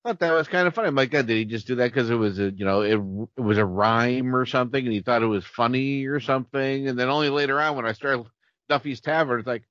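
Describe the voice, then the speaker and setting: A man with an average-pitched voice chats as a podcast panelist. He tells things in an animated, informal way, recorded dry with slightly muffled sound. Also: quick pace, no room sound. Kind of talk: casual chat. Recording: dry, slightly dull audio.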